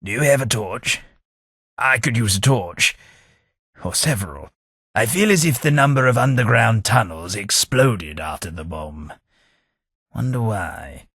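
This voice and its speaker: A fussy, snobbish companion in a video game, middle-aged and dryly sardonic. The voice is bright and edged, a touch husky, sitting slightly low. The lines come unhurried and measured. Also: male voice, animated, no echo, exaggerated voice. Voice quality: dry, sardonic delivery. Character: prim, snobbish British male companion, dry wit.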